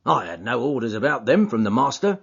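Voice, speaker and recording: A man voicing a gruff, stubborn burly porter and former boxer who talks bluntly with rough grammar. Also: mid-range voice, sing-song, fairly quick, full character voice. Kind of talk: audiobook character voice. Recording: dull lo-fi audiobook recording.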